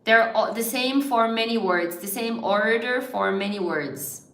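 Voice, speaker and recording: A chatty Irish woman teacher runs a live word game for her viewers, cheering them on and laughing often. She talks slowly in a fairly high voice. The sound bounces around an echoey room. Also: a bit bright. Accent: mild Irish, largely neutral. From Ireland. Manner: chatty and encouraging, laughs often, reads viewer comments aloud. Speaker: English teacher hosting a livestream.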